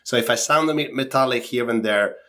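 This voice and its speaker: A man's voice: medium pitch, fairly quick, pushed and bright. A man with a sore throat co-hosting a podcast, reading skating news in casual chat with some hedging fillers. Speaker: podcast co-host.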